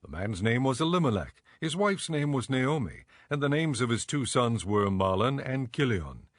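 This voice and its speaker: A man narrating scripture from a script in a measured, solemn manner. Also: mid-range voice, sing-song, dry recording, clean studio sound.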